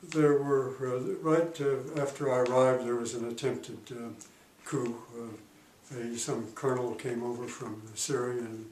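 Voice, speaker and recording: An elderly man in his eighties talks about his past as a diplomat in a calm, halting way, stopping often to search for words. His voice sits at an ordinary pitch for a man. He is recorded from some way off in a reverberant room with a faint hiss behind him. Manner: halting, many pauses and fillers. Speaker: retired diplomat interviewee. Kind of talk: oral history interview. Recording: roomy, distant mic, faint noise.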